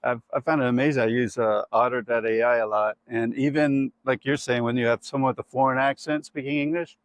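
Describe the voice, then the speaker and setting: A man's voice: medium pitch, steady tone, fairly quick, no echo. A middle-aged man with an American accent, hosting an interview and asking long, curious questions while often affirming his guest. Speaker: podcast host. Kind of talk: conversational interview.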